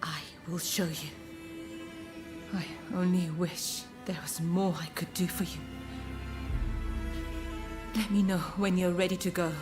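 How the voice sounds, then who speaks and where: Actress as a soft, slow-speaking devoted young woman. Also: fairly low pitch, fairly even intonation, lightly acted. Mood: tender, relieved. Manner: soft, hesitant, formally polite delivery. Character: earnest, devoted young Japanese woman, anxious and tender. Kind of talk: dramatic video game cutscene acting. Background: music.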